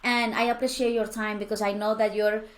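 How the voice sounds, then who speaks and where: A woman's voice: medium pitch, little pitch movement, fairly quick. A woman hosting a podcast interview, effusive and gushing, with frequent laughs. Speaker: podcast host. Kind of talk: conversational interview.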